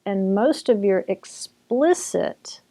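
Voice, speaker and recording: This is a woman with a mellow, warm voice explaining history in an easy, conversational way. She talks like a teacher chatting with a friend, restarting sentences and circling back as she goes. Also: mid-range voice, expressive, slow pace. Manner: chatty, with restarts and occasional laughter. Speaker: author and Bible teacher.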